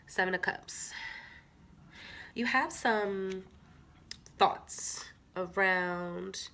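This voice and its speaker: A woman's voice: fairly low pitch, vocal fry, a bit bright. A woman with a stuffy nose, chatting casually to viewers as she reads tarot cards. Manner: chatty, filler-heavy, talks straight to viewers. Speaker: tarot reader. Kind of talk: casual tarot reading to camera.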